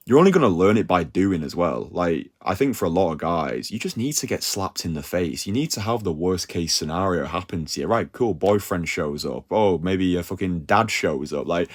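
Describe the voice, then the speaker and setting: Young guy, deep low voice, chatty coaching talk full of "like" and "yeah?". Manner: animated, slangy, full of "like" and "yeah?" tags. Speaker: dating coach. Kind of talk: casual advice talk.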